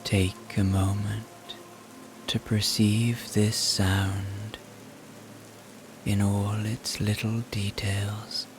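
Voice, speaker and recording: A man narrating a scripted guided meditation in a soothing, hushed, gentle manner. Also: lowish voice, completely flat delivery, very slow, background noise.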